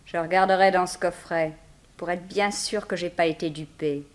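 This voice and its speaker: An actress playing a brave, quick-witted young heroine in a 1960s French radio crime serial, speaking dramatically and deliberately. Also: female voice, fairly low pitch, steady tone, close to an everyday voice. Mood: coolly cunning.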